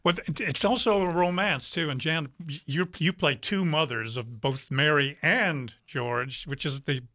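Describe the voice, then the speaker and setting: A man's voice: on the high side, a little slow, pushed and bright, no room sound, clean studio sound. A man, a stage actor, chatting conversationally as an interview guest.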